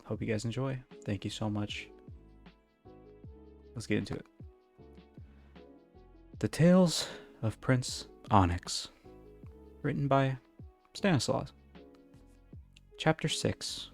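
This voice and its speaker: A man's voice: mid-range voice, lively intonation, slow, gravelly, pauses often, faint music behind. A man reading a story aloud in an informal, amateur storytelling style. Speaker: fan fiction reader. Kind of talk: storybook narration, casual intro.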